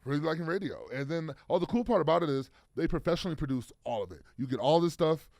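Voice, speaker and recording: A man's voice: a little high, lively intonation, fast, creaky, darkish tone, dry recording, studio quality. A man in his thirties, a football player guest, talking casually in an interview with lots of fillers and restarts. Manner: rambling, many fillers and restarts.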